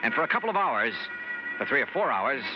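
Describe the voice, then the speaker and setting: An American man playing a cool, skeptical investigator in a 1950s radio drama, brisk, clipped and matter-of-fact. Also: mid-range voice, sing-song, close to an everyday voice. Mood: brisk narrating. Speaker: lead actor and narrator. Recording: muffled vintage radio recording with loud music.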